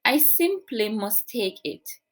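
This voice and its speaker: A kindly very old man from a storybook played by a woman speaks with earnest eagerness. His lines are unhurried and exclaimed simply, for young children. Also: on the high side, very animated, exaggerated voice. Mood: joyful, eager.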